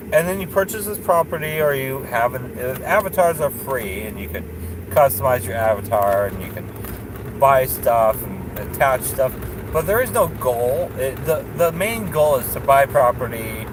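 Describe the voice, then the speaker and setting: A man's voice: mid-range voice, very animated, moderate pace. A man in his late thirties rambling in a casual vlog from a parked car at night, full of fillers and restarts, chuckling now and then. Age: 30s. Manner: meandering, many fillers and restarts, chuckles often. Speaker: vlogger. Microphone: phone camera on dashboard. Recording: noisy car interior.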